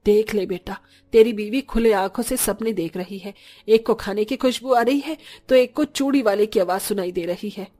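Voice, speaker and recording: Woman as a grumbling village mother-in-law, mid-pitched. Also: normal speed, warm tone, close to an everyday voice. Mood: mocking, surprised. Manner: complaining, plain-spoken. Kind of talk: scripted Hindi moral story voice acting.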